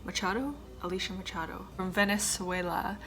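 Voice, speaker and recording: A woman in her twenties chatting casually to camera as a vlogger, with quiet muttered asides and a slightly stuffed-up nose. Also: mid-range voice, steady tone, brisk, creaky. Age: 20s. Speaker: vlogger, former pageant contestant.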